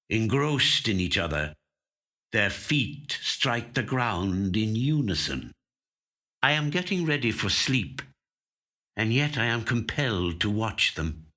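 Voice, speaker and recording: Slow, deep-voiced male storyteller, bright and expressive. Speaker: professional actor reading a short story. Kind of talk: scripted literary narration. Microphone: studio microphone.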